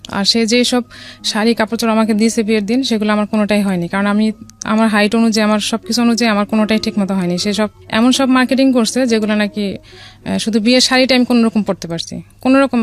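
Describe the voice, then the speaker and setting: A woman from Bangladesh with a Bangladeshi accent, telling a personal story as a radio guest in a casual, somewhat halting way. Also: a little high, steady tone. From Dhaka, Bangladesh. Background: music.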